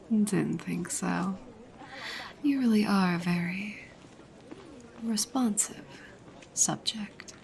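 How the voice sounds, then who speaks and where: A woman playing a dominant, teasing goddess girlfriend in an ASMR roleplay, soft, breathy and often whispering, coaxing and commanding. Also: on the low side, slight background noise, heavily acted.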